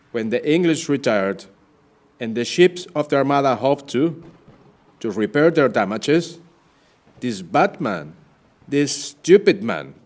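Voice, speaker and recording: An actor playing a proud, wronged Spanish sea captain in an audio play, with formal, declamatory speech. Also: male voice, mid-range voice.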